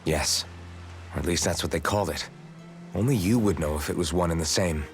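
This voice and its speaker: An actor playing a troubled, earnest young warrior hero in a video game dub, speaking tersely and broodingly. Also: male voice, low-pitched, fairly quick. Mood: subdued, earnest. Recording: game music playing behind. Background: background music.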